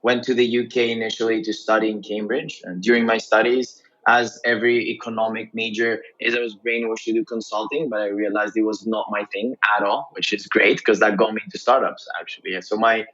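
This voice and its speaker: A man's voice: on the low side, level tone, brisk, few pauses, a bit bright, muffled recording. A man from Greece telling his career story conversationally as an interview guest, often tagging phrases with "right?". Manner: frequent "right?" tags. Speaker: interview guest, venture investor.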